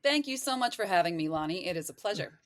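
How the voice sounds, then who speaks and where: A woman's voice: fairly low pitch, lively intonation, fast, no room sound, clean studio sound. A woman talking as a podcast guest, explaining things in a casual, digressive, conversational way. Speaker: podcast guest, occult practitioner.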